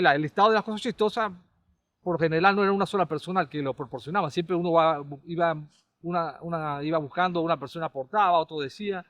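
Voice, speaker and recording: A middle-aged Panamanian man, a caricaturist and comedy writer, recalling his TV work in a relaxed, rambling way with many restarts. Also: fairly high pitch, no echo. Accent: Panamanian Spanish. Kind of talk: relaxed conversational storytelling.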